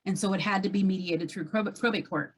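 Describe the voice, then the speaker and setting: A woman's voice: mid-range voice, brisk, slight background noise, low-quality recording. A woman speaking casually as a real estate agent, laying out a case to a coach. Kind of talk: casual conversational case recounting.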